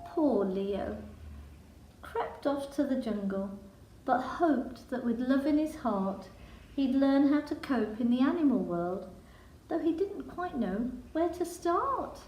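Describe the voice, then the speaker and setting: A woman reading a children's picture book in verse with a measured, dramatic storytelling delivery. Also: high-pitched, animated, mellow. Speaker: storybook reader. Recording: echoey room.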